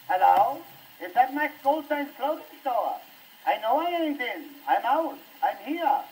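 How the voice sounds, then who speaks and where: A man's voice: very high-pitched, slight background noise, exaggerated voice, poor audio. A man playing a flustered middle-aged immigrant father and shopkeeper, hollering into a telephone in broken in a comic monologue. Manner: flustered, mangled English, hollering into the phone.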